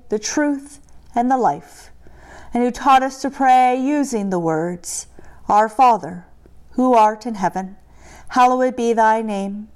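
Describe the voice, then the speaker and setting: A woman leading a church prayer in a slow, measured liturgical delivery. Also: fairly high pitch, a little mellow, smooth. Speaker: prayer leader.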